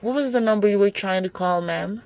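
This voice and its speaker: A woman's voice: medium pitch, fairly quick, a little mellow, no room sound, muffled recording, lightly acted. A young woman acting in a student radio drama as a placating, dismissive police officer on duty. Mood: businesslike.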